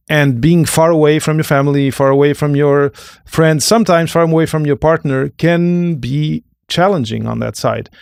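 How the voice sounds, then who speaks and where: A man hosting a conversational podcast interview, often halting and rephrasing as he goes. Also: mid-range voice, vocal fry, a little mellow, no room sound, studio quality. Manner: halting, rephrases and repeats phrases. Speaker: podcast host.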